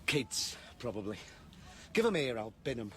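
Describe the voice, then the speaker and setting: A gruff father played by an actor with animated, swinging intonation. He is impatient and sardonic, speaking bluntly in a realistic radio play. Clear ambient noise sits behind the voice. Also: mid-range voice, moderate pace, lightly acted. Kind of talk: naturalistic radio drama acting.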